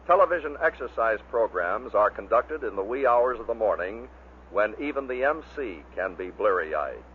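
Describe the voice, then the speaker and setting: A man's voice: lowish voice, lively intonation, moderate pace. Man narrating on a tinny vintage recording. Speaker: narrator of a radio bloopers compilation. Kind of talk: scripted narration introducing clips. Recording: muffled vintage narrow-band recording with hiss.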